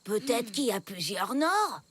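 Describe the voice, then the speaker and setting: A woman's voice: on the high side, very animated, measured pace, very noisy. A woman voicing a bossy, boastful young boy bully who mocks the others in a cartoon dub. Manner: mocking and bossy.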